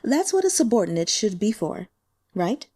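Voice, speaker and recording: Sly female sect protector for fantasy audiobook, played by a woman: coaxing, exasperated beneath courtesy, animated pitch. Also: normal speed. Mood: deferential, wheedling. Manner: soft-spoken, coaxing, teasing.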